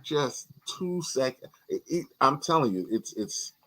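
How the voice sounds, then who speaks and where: A man from New York, a guest on a podcast in casual chat, animated and often repeating words as he talks. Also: medium pitch, a little slow, poor audio.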